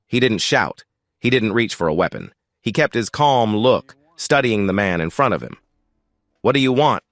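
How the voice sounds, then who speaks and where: A man's voice: lowish voice, lively intonation, normal speed, fairly bright tone, dry recording, clean studio sound. A man reading a scripted story as its narrator.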